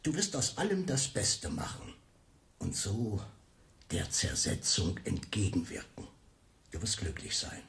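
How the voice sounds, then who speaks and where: A man's voice: lowish voice, sing-song, a little slow, creaky, a bit bright, exaggerated voice. An actor in a radio play voicing a cynical, mocking, melancholy man who swings theatrically between murmurs and cries. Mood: cynical persuasion. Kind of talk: radio drama acting.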